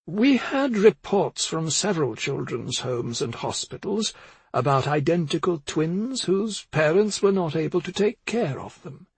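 A man voicing an elderly woman doctor in an audiobook, frail and calculating, speaking in a measured, polite and evasive way. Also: a little high, expressive, slightly rough, no echo, pitched above the performer's own voice, heavily acted. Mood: hesitant, explaining. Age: older. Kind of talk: audiobook character dialogue.

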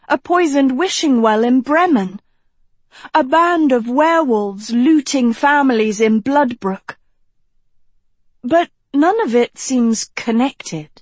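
A woman voicing a sharp-minded, insistent young woman in a fantasy audiobook, questioning and challenging. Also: fairly high pitch, no echo, voice pushed up.

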